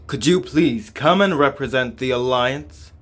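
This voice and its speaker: A man's voice: medium pitch, measured pace, fairly bright tone, slight background noise. A man reading translated game dialogue and narration aloud as a scripted playthrough. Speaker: reader voicing a game playthrough.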